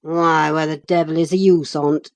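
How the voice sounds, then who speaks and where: A woman voicing a gruff, uneducated young farmhand with a Yorkshire accent in an audiobook, growling his words. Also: sits low, little pitch movement, normal speed. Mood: angry. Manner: growling, rough dialect.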